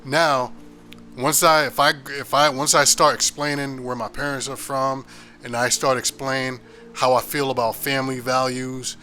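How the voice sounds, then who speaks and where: An American man teaches scripture in a loose, conversational way, pressing his points with emphasis and often stopping to restart a sentence. His voice is bright and forceful, sitting at an ordinary pitch for a man. Soft music plays faintly behind him. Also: flat delivery, moderate pace. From United States. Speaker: Bible teacher. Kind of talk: informal Bible lesson.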